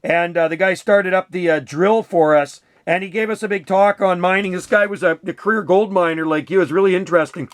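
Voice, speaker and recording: A man's voice: sits high, fairly quick, hardly any pauses. A man chatting casually and enthusiastically as an amateur radio operator. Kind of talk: casual chat over radio.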